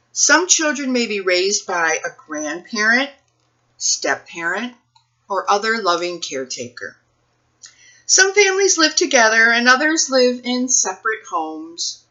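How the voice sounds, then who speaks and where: Female storytime librarian. Highly expressive, slow-paced delivery aimed at young children, with questions to listeners. Slightly muffled recording. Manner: addresses young children with questions, deliberate phrasing. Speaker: children's librarian reading a picture book aloud. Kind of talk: children's storytime read-aloud with asides to listeners.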